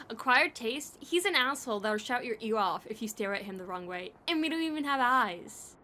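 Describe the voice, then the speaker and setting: A sardonic, playful creature from an audio drama, voiced by a woman, pitched fairly high. The delivery is wry and full of teasing banter. Noise is clearly audible in the recording. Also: lively intonation, normal speed, sharp tone. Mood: wry sarcasm. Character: sardonic, teasing, playful eyeless bloodless oddball.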